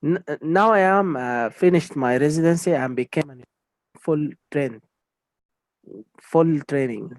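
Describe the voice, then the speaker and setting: A man's voice: on the high side, measured pace, pauses often, dry recording. A man, a neurosurgeon, talking hesitantly in broken English on a video call. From Sudan. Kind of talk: casual video call chat.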